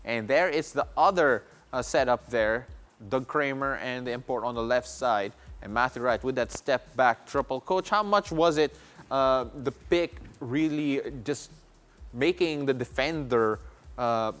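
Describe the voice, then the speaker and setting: A man's voice: mid-range voice, animated, a little slow, fairly bright tone. A man, hosting a basketball analysis segment in a conversational style in a TV studio. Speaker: sports show host.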